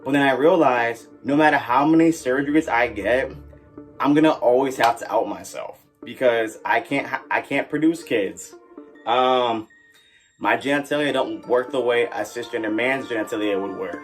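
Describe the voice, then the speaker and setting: A man's voice: mid-range voice, normal speed, faint music behind. A man giving somber, earnest personal testimony in an interview.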